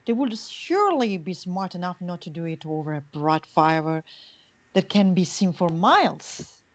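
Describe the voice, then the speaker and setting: A woman's voice: fairly low pitch, expressive, measured pace, dull audio. A woman playing a guarded, dismissive female cult member in a screenplay reading, matter-of-fact in manner.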